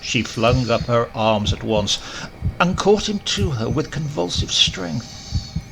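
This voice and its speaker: A man narrates gothic horror in a theatrical, formal style. His mid-pitched voice has a creaky, gravelly edge and lands words with emphasis. The recording carries clear noise and clicks. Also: animated, takes it slowly. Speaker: audiobook narrator. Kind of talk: dramatic scripted horror narration.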